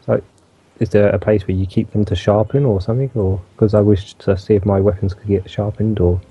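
A man with a croaky, husky and very dark voice plays his part in a tabletop game. He stumbles and repeats words as he asks his questions. The recording is dull and lo-fi, with faint music behind him. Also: lowish voice, fairly even intonation, a little fast. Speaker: player.

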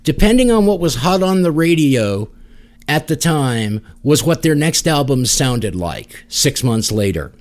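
A man's voice: fairly high pitch, big pitch swings, a little slow. A man hosting a podcast, talking casually about music. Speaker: podcast host.

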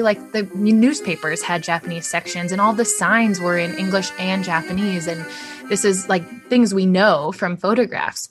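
A young adult woman giving a reflective interview answer as a scholar, thoughtful and searching, with many ums and likes. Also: lowish voice. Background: soft background music.

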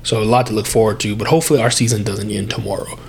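A man's voice: on the low side, steady tone, rapid-fire, darkish tone, husky. A young adult man hosting a solo sports podcast, congested and with a sore throat, chatty and breathing heavily as he corrects himself. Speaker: podcast host.

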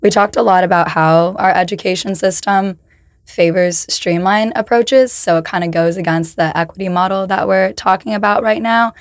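A young woman from the United States co-hosting an educational podcast, her voice hoarse from laryngitis, chatting casually with lots of "like" fillers. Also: mid-range voice, moderate pace, no echo, clean studio sound. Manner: talks with many "like" fillers. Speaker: podcast co-host.

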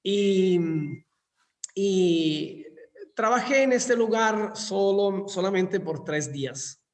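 High-voiced Italian man, reverberant recording. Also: animated, extremely slow. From southern Italy. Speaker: interview guest, hotel managing director. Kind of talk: conversational personal storytelling. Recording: long hall-like reverb.

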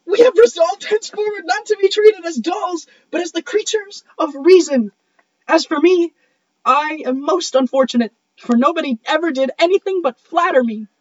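A woman's voice: extremely high pitch, normal speed, no echo, lo-fi sound. A woman playing a haughty, self-important widow in a comedic play reading, speaking in a grand, emphatic, theatrical way. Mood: lofty, self-righteous.

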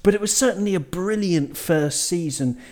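A man's voice: high-pitched, normal speed, a little mellow. A man hosting a football podcast, an upbeat, enthusiastic interviewer. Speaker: podcast host. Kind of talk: casual podcast chat.

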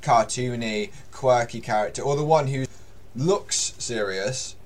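A young man with a deep voice chats as a podcast guest. He speaks at a medium pitch, friendly and laughing easily, and often pauses on fillers while he thinks. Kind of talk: casual chat.

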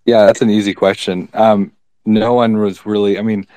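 A man answering as a panelist in a casual discussion, explaining his view in a measured way with pauses. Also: lowish voice, little pitch movement, a crowd in the background, rough recording.

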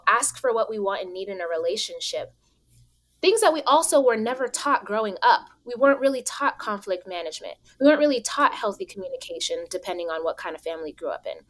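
Woman podcast host, energetic, casual interview chat. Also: mid-range voice. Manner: energetic, hyped, talks straight to listeners.

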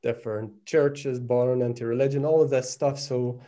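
Earnest Northern Irish man, mellow voice. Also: medium pitch, steady tone, normal speed. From Northern Ireland. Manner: earnest, searching, repeats words while thinking. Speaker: guest. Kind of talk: reflective conversational podcast talk.

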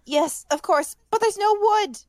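A woman reads as a clever schoolgirl witch in a storybook. The voice is high and close, with very little room sound. She is bossy, anxious and urgent, exclaiming her lines. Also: measured pace, no echo. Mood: panicked.

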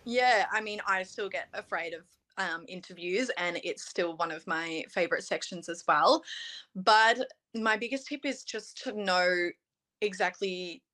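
A woman's voice: fairly low pitch, measured pace, pushed and bright. A young woman from Australia, a guest in a casual interview, talking in an earnest, chatty way full of "you know" and "um". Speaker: interview guest, pageant titleholder.

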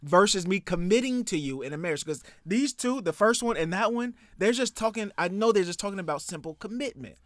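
A man's voice: high voice, animated, brisk, hardly any pauses, no room sound, clean studio sound. A man hosting a casual podcast chat, reading out listener responses and laughing often. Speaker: podcast host.

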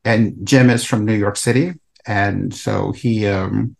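A middle-aged man from El Salvador speaks as an interview guest, his voice sitting a bit low. He answers thoughtfully and in a conversational tone, and his phrases often fade away softly at the end. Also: fairly even intonation, slow pace, vocal fry. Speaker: author and researcher guest.

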